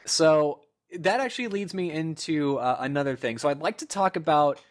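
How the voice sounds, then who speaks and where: A man's voice: fairly high pitch, expressive, normal speed. A young man in his twenties co-hosting a casual gaming podcast, rambling through stories with lots of fillers and laughter. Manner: rambling storyteller, laughs often, many fillers. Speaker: podcast co-host.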